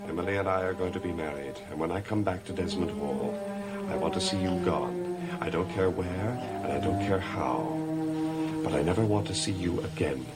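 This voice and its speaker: An actor playing the brooding, resolute master of a cursed house in a gothic soap opera, speaking in a deliberate, commanding, dramatic manner. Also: male voice, deep voice, loud music behind the voice, natural voice.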